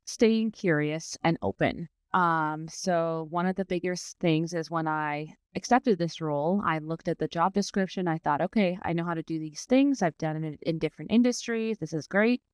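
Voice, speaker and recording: A woman's voice: deep voice, normal speed, few pauses, clean tone, dry recording, clean studio sound. A woman from Iran who partly grew up in Dubai, answering conversationally as an interview guest about her professional work. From born in Iran, raised partly in Dubai. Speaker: interview guest, learning and development manager.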